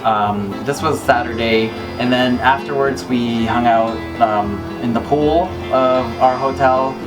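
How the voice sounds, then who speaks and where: A man's voice: mid-range voice, a little slow, far from the mic, low-quality recording. A man whose first language is Cebuano, co-hosting a casual travel chat. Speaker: travel podcast co-host. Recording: off-mic, guitar music behind. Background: acoustic guitar music.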